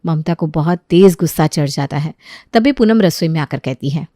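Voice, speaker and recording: A woman narrating a scripted moral story as an expressive storyteller. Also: low-pitched, normal speed, dry recording, studio quality.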